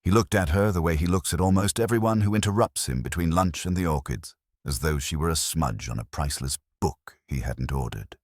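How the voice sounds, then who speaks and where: A man's voice: extremely low pitch, brisk, darkish tone, no echo, studio quality. A man narrating a scripted first-person detective story as host.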